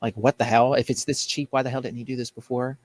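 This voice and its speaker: A man's voice: medium pitch, steady tone, fast talker, dry recording. An American man on a talk show panel, giving opinionated political chat in a blunt, exasperated, ranting manner.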